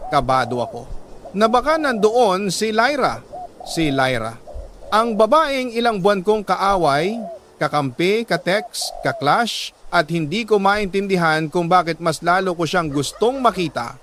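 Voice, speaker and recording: Higher-voiced Filipino man narrating a dramatic story. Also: a bit bright, slight background noise. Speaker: radio-style storyteller reading a letter sender's love story. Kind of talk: dramatic scripted first-person narration.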